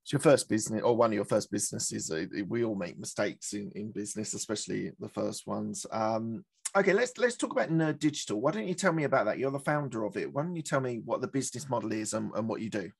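A man's voice: fairly low pitch, lively intonation, fast talker, vocal fry, husky, no room sound, studio quality. Chatty male podcast host. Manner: chatty, rambling asides. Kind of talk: podcast interview questions.

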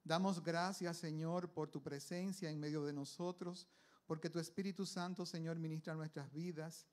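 A pastor on a church stage leading a fervent prayer, calling on the Lord again and again. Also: male voice, on the high side, darkish tone. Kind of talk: opening prayer. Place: church stage, large hall.

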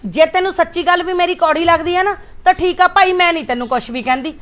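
A woman acting as an older mother in a family drama, reproachful and quick to take offence. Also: a little high, lively intonation. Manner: reproachful, grows heated and loud.

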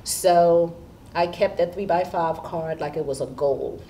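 A woman's voice: fairly low pitch, measured pace, warm tone. A woman in her fifties from Haiti, an assistant principal answering questions in a seated interview. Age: 50s. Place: indoor school studio with curtain backdrop. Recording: distant mic with background room noise.

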